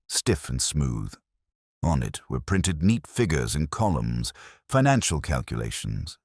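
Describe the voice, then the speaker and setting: Very deep husky male storyteller. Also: no room sound, clean studio sound. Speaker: audiobook narrator. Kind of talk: scripted mystery story narration.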